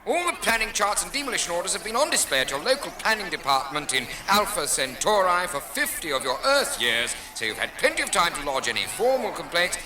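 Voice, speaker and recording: An actor playing an officious, impatient alien demolition official scolding the public in a science fiction comedy radio drama. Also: male voice, sits high, big pitch swings, fairly quick, hardly any pauses. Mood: exasperated, scolding. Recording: very noisy archive radio drama clip.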